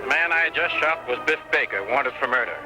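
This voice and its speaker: An actor playing a ruthless, scheming outlaw killer with menacing tough talk in an old radio western. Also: male voice, medium pitch, fairly quick, natural voice, low-quality recording. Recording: vintage radio drama with music bed.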